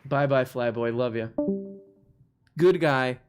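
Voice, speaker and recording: A man's voice: medium pitch, slow, music in the background. A man hosting a live call-in stream, chatting casually with callers and joking with mock outrage. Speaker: live show host taking callers.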